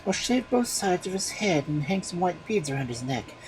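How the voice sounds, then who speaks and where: A fussy, demanding young wife in a storybook tale, voiced by a storyteller. Her voice is pitched high above the narration, and she complains, pleads and gives orders. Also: very animated, normal speed, faint music behind, natural voice, low-quality recording. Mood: pleading.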